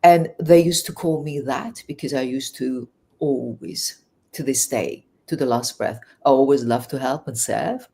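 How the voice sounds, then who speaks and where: Interview guest: Farsi-native woman, Iranian-English accent, earnest, lively storytelling. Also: extremely low pitch, measured pace, creaky, breathy edge. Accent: Iranian, learned English in England. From Iran. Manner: earnest, animated, with restarts.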